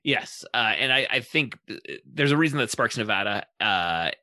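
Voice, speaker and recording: A man hosting a casual pop culture discussion, thinking aloud in long, halting sentences. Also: medium pitch, animated, bright, forceful tone, dry recording, clean studio sound. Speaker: podcast host.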